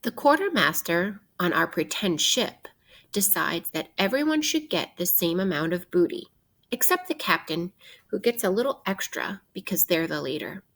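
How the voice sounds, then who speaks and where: A woman teacher reading a picture book aloud to children in a deliberate, instructive way, posing questions as she goes. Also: fairly low pitch, sing-song, fairly bright tone.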